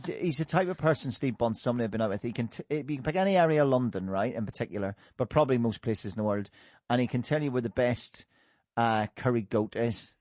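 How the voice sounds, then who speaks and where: A man's voice: mid-range voice, fast talker, darkish tone, no echo. A man hosting a casual podcast chat, joking and laughing often and restarting his sentences. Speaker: podcast host.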